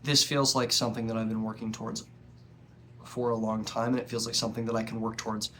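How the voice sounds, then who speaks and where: A young man with a cold and a stuffy nose, talking earnestly and haltingly in a personal reflective monologue. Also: on the low side, flat delivery, fairly bright tone, a little hiss or hum. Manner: earnest, halting, with frequent pauses. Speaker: vlogger.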